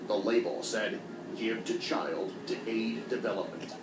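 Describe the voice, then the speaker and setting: Brief: man as a mock-solemn storybook kid with an adult's low voice, echoey and noisy track. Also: a little slow, close to an everyday voice. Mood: deadpan. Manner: deadpan, mock-solemn delivery. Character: male kid with a grown man's deep voice, deadpan. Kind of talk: comedic storytime animation narration.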